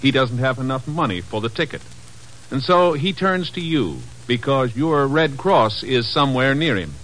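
A man announcing and narrating a 1950s radio programme in a scripted, deliberate, dramatic manner. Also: mid-range voice, animated, fairly bright tone. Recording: noisy old 1950s radio recording.

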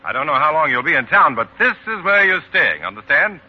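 Earnest political writer, highish husky voice, exclamatory, evasive, 1940s radio drama, muffled vintage sound. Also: male voice, fairly quick. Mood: excited, hearty. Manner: animated, exclamatory, hesitant when cornered. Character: Stephen, earnest busy male political speechwriter, sociable but evasive.

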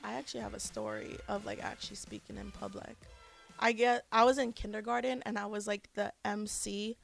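A woman co-hosting a casual chat show, an animated storyteller with a loud voice. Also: medium pitch, a little fast, creaky, soft background music. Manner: animated storyteller, says she has a loud voice. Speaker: co-host.

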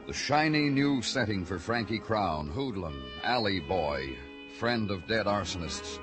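A hard-boiled American detective in vintage noir radio drama. His low voice is clipped, brooding and weary, with prominent dramatic music behind it. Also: animated, measured pace. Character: terse, world-weary male police detective narrating his case. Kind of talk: 1950s noir radio drama narration and dialogue.

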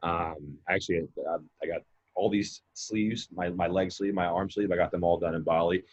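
A man's voice: low voice, steady tone, moderate pace, breathy edge, muffled recording. A man in his late twenties, a mindset coach and guest, talking casually in a rambling way with many fillers and repeated words. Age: 20s. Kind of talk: casual podcast conversation.

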